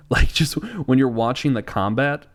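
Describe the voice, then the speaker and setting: A man's voice: medium pitch, expressive, a little slow. A man co-hosting a podcast in casual chat, talking in a rambling, exasperated and incredulous way. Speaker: podcast co-host. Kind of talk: casual chat, film ranting.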